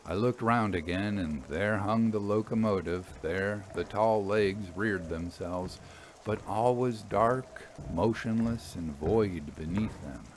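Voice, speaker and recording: A man narrating a literary ghost story aloud with measured, suspenseful and expressive phrasing. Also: on the low side, slight background noise. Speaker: storyteller reading fiction aloud.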